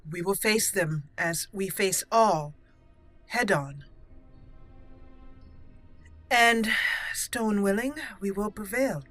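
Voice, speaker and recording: Bright-voiced woman doing game roleplay commentary. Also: mid-range voice, lively intonation, slow pace, long pauses, soft background music. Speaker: gaming host. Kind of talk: roleplay let's play commentary.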